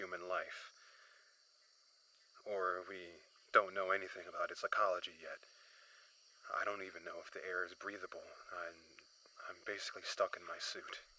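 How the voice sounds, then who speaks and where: A man acts out a lone crash survivor in a sci-fi horror audio drama, a weary surveyor logging his days. His delivery is very slow and halting, with a sharp, forceful edge to the voice. There is faint noise behind him. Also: deep voice, exaggerated voice, rough recording. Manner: halting, hesitant, sighing delivery.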